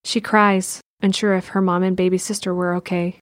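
A woman's voice: fairly low pitch, level tone, moderate pace, background noise. A woman reading scripted narration for an audio drama. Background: faint music.

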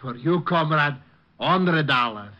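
High-pitched, grandiose Russian artist. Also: male voice, expressive, slow. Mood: haggling, wounded. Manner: grandiose, broken English, melodramatic. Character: boastful, temperamental Russian émigré artist, haggles theatrically. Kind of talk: 1950s radio comedy-drama acting. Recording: dull old radio broadcast recording.

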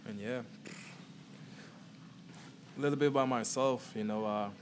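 A man with a Guyanese accent, co-hosting a podcast and telling a relaxed story with frequent "you know" fillers. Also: mid-range voice, measured pace, slight background noise. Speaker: podcast co-host. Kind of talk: casual storytelling.

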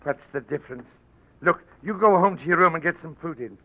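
An actor playing Jippo, a slow-witted and guilty Irish man with an Irish accent, halting and stammering, in a 1940s radio drama. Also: a little high, close to an everyday voice. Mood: evasive. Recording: dull old radio broadcast with faint hiss.

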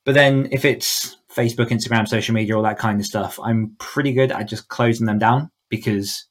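A man's voice: on the low side, fairly even intonation, a little fast. A man chatting casually as a podcast guest, explaining his thoughts in a reflective way. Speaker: podcast guest, author coach. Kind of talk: casual interview chat.

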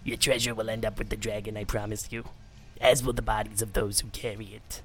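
A man's voice: fairly low pitch, flat delivery, a little fast, fairly bright tone, faint music behind. A man voicing a cruel, sneering male kobold in a storybook reading, hissing out insults and threats. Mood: threatening. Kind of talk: audiobook character voice.

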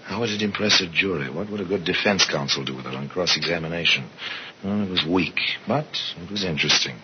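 Very deep-voiced, wry mystery narrator played by a man. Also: lightly acted. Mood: musing. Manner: measured, dramatic, wry delivery. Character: worldly, sardonic male narrator of murder tales. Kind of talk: 1950s radio mystery narration. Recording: muffled, very noisy old radio recording.

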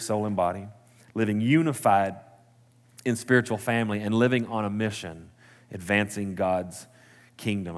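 Earnest pastor, low voice. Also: male voice, big-room sound. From Texas. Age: 30s. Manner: conversational, self-correcting, earnest. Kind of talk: sermon. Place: church sanctuary.

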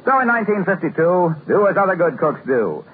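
A man's voice: high voice, sing-song, a little fast. A man announcing for a sponsor in the style of 1950s radio. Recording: tinny, noisy old radio recording.

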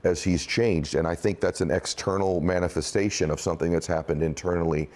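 A man hosting an interview who talks quickly and assertively. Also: low-pitched, fairly even intonation, a little mellow. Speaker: host. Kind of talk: interview conversation.

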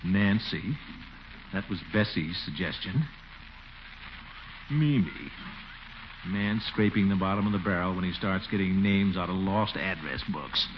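An actor playing a pompous, easily flustered middle-aged bachelor with an American accent and a blustering, chuckling manner in a 1940s radio comedy. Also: male voice, low voice, lively intonation, measured pace, pauses often. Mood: bashful, flustered. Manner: blustering, chuckling, theatrically swooping. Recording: muffled, noisy 1940s radio recording.

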